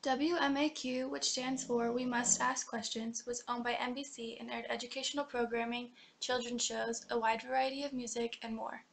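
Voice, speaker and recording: A woman's voice: on the high side, little pitch movement, normal speed, vocal fry, few pauses, a little hiss or hum, low-quality recording. A young woman reading scripted documentary narration for a student history project.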